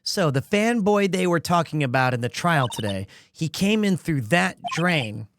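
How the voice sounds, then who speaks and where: A man's voice: fairly high pitch, expressive, moderate pace, noisy background. A man voicing a hesitant young defence lawyer in video game dialogue, sheepish and stammering with dry asides, over game music. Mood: wry.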